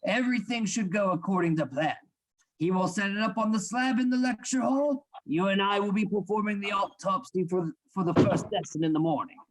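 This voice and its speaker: An actor playing a stern, secretive anatomy lecturer in a radio play, formal and instructing. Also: male voice, high-pitched, fairly quick, lightly acted, poor audio. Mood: grave, secretive.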